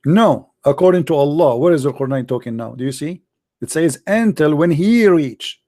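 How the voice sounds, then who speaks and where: A man's voice: on the high side, animated, moderate pace, warm tone, no echo, studio quality. Insistent Arabic-accented man debating. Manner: insistent, emphatic, repeats his denials. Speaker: host. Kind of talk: heated debate with a caller.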